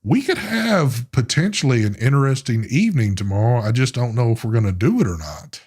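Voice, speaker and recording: A man's voice: mid-range voice, lively intonation, a little fast, darkish tone, slightly rough. A man, co-hosting a live online show in a loose, rambling way, cussing casually. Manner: laid-back, cussing, rambling. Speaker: co-host of a live vape show. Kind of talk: casual live-stream chat.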